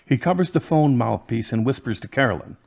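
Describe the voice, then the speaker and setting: A man reads scripted narration for an amateur drama. His delivery is plain and even, simply describing the action. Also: medium pitch, a little fast, vocal fry.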